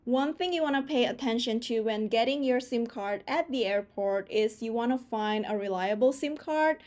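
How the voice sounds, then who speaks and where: A woman's voice: on the high side, moderate pace, a little mellow. A woman from Indonesia, giving travel tips to camera in a chatty, conversational vlog style. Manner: chatty and conversational, frequent "like" fillers. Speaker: travel vlogger.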